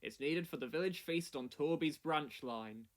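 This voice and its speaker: A man's voice: on the high side, moderate pace, natural voice. A man voicing a formal, authoritative railway controller in a children's storybook, giving firm instructions. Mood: instructing.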